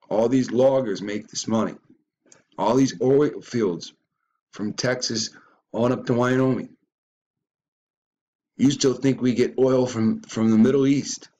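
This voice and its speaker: A man's voice: mid-range voice, a little mellow. A man giving a ranting reaction commentary on a video, stumbling and repeating words as he goes.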